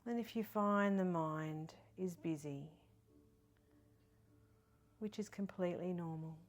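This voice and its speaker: A woman leading a guided meditation in a soft, breathy, partly whispery voice, soothing and gentle with long pauses. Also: fairly low pitch. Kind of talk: guided body scan meditation.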